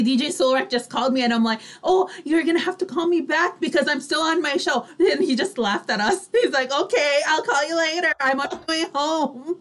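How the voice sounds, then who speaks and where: A woman's voice: sits high, a bit bright. A woman hosting an online radio show in casual chat, with a chatty, giggly manner.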